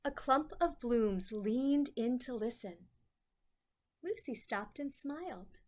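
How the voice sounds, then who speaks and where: A woman reading a children's story aloud with deliberate phrasing and dramatic emphasis. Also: a little high, expressive.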